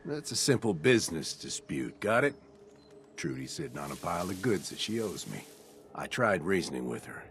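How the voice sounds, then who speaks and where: An actor playing a smooth drug dealer thug in a video game, with cool, businesslike menace. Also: male voice, deep voice, sing-song, moderate pace, fairly bright tone, a little hiss or hum, full character voice.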